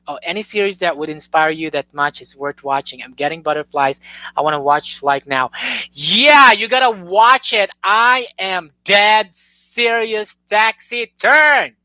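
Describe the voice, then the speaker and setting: A woman's voice: medium pitch, unhurried, pushed and bright, no echo. A young woman hosting a live stream, talking earnestly and gushing, often restarting her sentences. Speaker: YouTube host. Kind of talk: casual live-stream chat.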